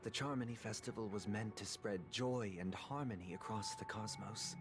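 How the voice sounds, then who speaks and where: An actor playing a solemn, courteous, troubled young man of priestly bearing in a video game, speaking formally and gravely. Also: on the low side, normal speed, close to an everyday voice. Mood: weary, courteous. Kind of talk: dramatic video game voice acting. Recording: long hall reverb under loud music.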